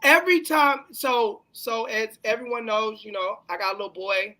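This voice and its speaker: A man with a Texas accent hosts a casual live show. His voice is bright and forward, and he chats in a slangy, bantering way. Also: sits high, normal speed, poor audio. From California, long settled in Texas. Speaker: talk show host. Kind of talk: casual live-stream interview chat.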